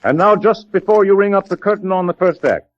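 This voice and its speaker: Old-time radio announcer, male, high voice, formal projected delivery, dull vintage broadcast sound. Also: expressive, brisk. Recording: muffled 1930s radio broadcast.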